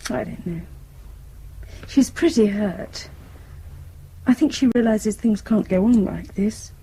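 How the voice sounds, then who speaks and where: A woman's voice: medium pitch, slow, vocal fry, mellow, slightly rough, exaggerated voice. An actress playing an anxious young British woman in a radio drama. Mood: sleepy, subdued. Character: soft, anxious young woman worried about her parents.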